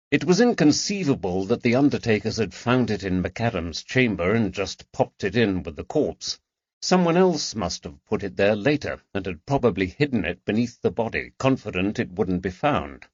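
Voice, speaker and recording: A man's voice: fairly low pitch, darkish tone, breathy edge, no room sound, muffled recording. A man reading a period mystery novel aloud as an audiobook narrator.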